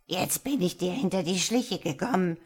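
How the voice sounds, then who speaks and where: A woman's voice: lowish voice, lively intonation, moderate pace, darkish tone, slightly rough. A woman voicing a greedy, spiteful old witch in a fairy tale, with a menacing, scolding manner. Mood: vengeful, menacing.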